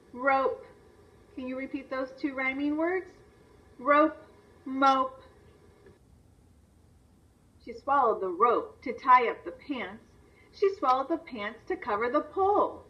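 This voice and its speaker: A woman's voice: fairly high pitch, bright, forceful tone. Distant, dull-sounding woman teaching young children. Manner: instructional, repeats and stresses words, asks simple questions. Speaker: teacher reading a picture book aloud to young children. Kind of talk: children's read-aloud lesson. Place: video lesson played on a screen and re-recorded. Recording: distant, dull replay through a screen's speaker.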